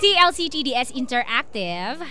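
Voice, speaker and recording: A woman's voice: high voice, big pitch swings, moderate pace, crowd noise. A woman with a Filipino accent co-hosting a radio show in offhand, teasing chat. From Philippines. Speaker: radio co-host. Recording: noisy radio broadcast recording.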